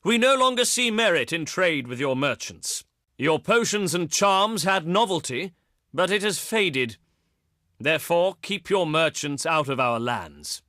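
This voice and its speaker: An actor playing a proud, pious ancient Greek diplomat in a strategy game, speaking in formal, theatrical oratory with haughty eloquence. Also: male voice, high voice, bright, forceful tone, no echo, studio quality, full character voice. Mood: stern, dismissive. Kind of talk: theatrical scripted game dialogue.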